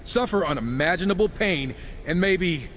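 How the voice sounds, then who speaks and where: A wisecracking gunslinger horseman for a video game, lively in pitch and full of flippant, sarcastic swagger. His voice rings with long reverb. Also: normal speed, slight background noise. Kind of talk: cinematic video game acting.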